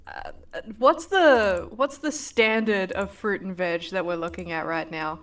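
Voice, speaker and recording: A woman's voice: medium pitch, a little slow, a bit bright. A woman player in a tabletop game, brash and swearing in banter.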